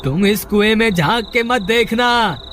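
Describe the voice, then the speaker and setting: Man playing an earnest high-voiced cartoon villager. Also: lively intonation, normal speed, slight background noise. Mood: urgent warning. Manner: dramatic, exclaiming, earnest. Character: earnest, anxious village brothers in peril, brave and devout. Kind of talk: Hindi cartoon story acting.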